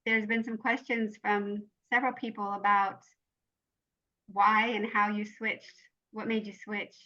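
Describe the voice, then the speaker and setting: A woman's voice: mid-range voice, level tone, measured pace, bright, forceful tone, dull audio. A woman hosting a podcast, asking questions in a friendly, encouraging interviewer manner. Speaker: podcast host. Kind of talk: casual interview.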